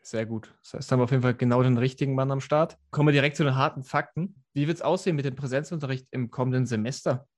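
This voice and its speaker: A man's voice: mid-range voice, fast, hardly any pauses, a little mellow. Twenties male interviewer, hesitant questions full of fillers. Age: 20s. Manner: hesitant, many fillers when asking questions. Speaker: podcast co-host and interviewer.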